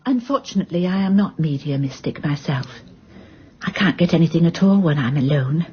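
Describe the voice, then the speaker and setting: An actress playing a lonely, credulous nurse in a radio drama, speaking in an earnest, polite and eager way. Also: female voice, sits low, lively intonation, fairly quick, breathy edge, highs cut off, heavily acted. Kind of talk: British radio drama acting.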